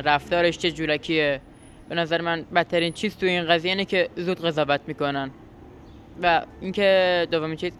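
A man's voice: on the high side, a bit bright, a little hiss or hum. A teenage boy of about fifteen giving spontaneous testimony as a young refugee, often restarting his words. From Afghanistan.

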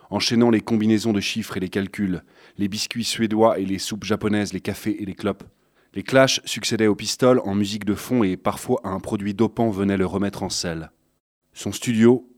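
Deep-voiced man narrating a thriller. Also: darkish tone, no room sound, clean studio sound. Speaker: audiobook narrator. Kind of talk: scripted literary narration of a thriller.

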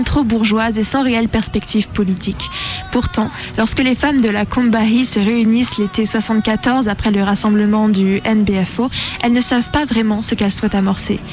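A woman radio presenter reads a scripted documentary. Her voice sits fairly high, and music plays lightly behind her. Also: darkish tone. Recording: music under the voice.

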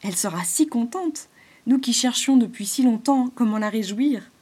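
An eager little squirrel speaks in a raised, reassuring voice, full of plans, as a woman puts it on for a storybook. The delivery is loud and lively, with a husky edge. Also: fairly high pitch, normal speed, voice pushed up. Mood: enthusiastic. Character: upbeat, encouraging squirrel.

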